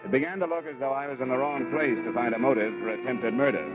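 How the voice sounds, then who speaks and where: An actor playing a weary, hard-boiled American insurance investigator in old radio drama style, with dry, clipped delivery. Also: male voice, mid-range voice, fast, close to an everyday voice. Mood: dry, narrating. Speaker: lead actor in a radio detective serial. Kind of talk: 1940s-50s radio acting, first-person narration. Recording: muffled old radio broadcast with music.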